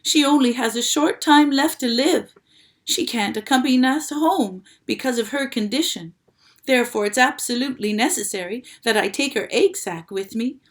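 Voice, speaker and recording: Woman reader doing a young male pig: high, loud, desperate, storybook. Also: normal speed, close to an everyday voice. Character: frantic young male pig, emotional and pleading.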